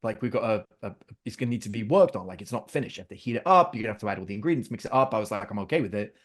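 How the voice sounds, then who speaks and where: A man's voice: lowish voice, expressive, very fast. A middle-aged man telling family stories in casual conversation, animatedly acting out his own lines and his children's. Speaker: podcast guest.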